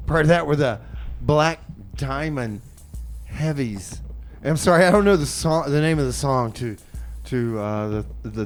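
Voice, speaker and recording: A man's voice: mid-range voice, slight background noise. A man hosting a community radio show with casual, improvised chat and announcements, hesitant and folksy, often repeating his phrases.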